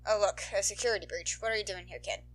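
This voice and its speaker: A woman voicing a dry, weary grown man, an underground hero with a curt, deadpan manner. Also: medium pitch, fast, close to an everyday voice.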